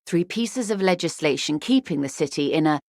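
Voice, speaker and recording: A woman's voice: deep voice, lively intonation, fairly quick, a little mellow, no echo, clean studio sound. An actress playing a wealthy, worldly older woman in a video game, articulate, arch and wryly condescending. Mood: dry, lecturing. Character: wealthy, worldly older woman, urbane, wry, intellectually vain. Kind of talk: video game character dialogue.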